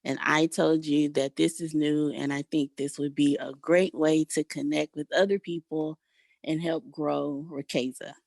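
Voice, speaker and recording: Female call participant, unhurried and explanatory, conversational, recorded dry. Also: deep voice, fairly even intonation, gravelly, darkish tone, no echo. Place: online group call, unmuted mic.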